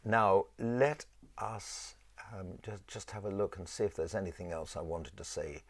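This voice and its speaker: A man with a low voice teaching a choir in an informal, rambling and halting conversational way. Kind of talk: informal instructional talk with sung demonstrations.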